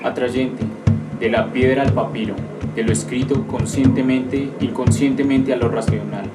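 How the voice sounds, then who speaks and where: A man presenting a radio drama with theatrical, scripted narration. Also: medium pitch. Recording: echoey, distant, under loud music.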